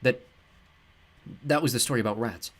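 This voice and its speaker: A man voicing a nervous, gentle teenage boy who stammers as he explains. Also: medium pitch, moderate pace, lightly acted. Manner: stammering, hesitant.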